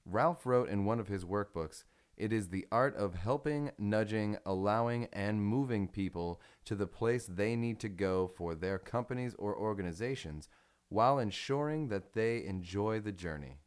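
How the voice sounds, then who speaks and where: A man reading a business article aloud as scripted narration, in a measured, instructional manner. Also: on the low side, clear, resonant tone.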